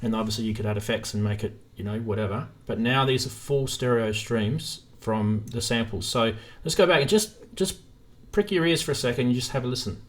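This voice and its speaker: A man's voice: medium pitch, fairly quick. A man hosting a live stream in a casual, informal chat, thinking aloud as he goes.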